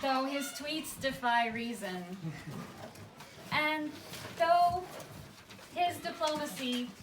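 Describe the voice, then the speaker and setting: A woman reading rhymed, scripted narration to a live audience as the presenter of a puppet show, in a storybook manner with deliberate pauses. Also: sits high. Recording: distant mic, echoey room, noisy live recording.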